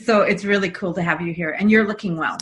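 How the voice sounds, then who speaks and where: Woman podcast host, low-ish voice. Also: quick pace, soft background music. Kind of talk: conversational interview.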